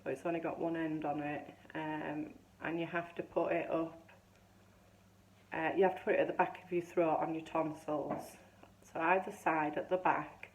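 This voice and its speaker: A woman in her fifties chatting casually to camera, rambling and restarting as she tells a story, her voice still a little hoarse. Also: sits low, little pitch movement, moderate pace, slight background noise, rough recording. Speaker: vlogger.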